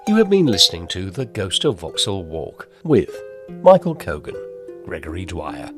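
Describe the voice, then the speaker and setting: A man's voice: deep voice, huge pitch swings, normal speed. A man narrating a scripted audio drama as a dramatic storyteller. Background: music.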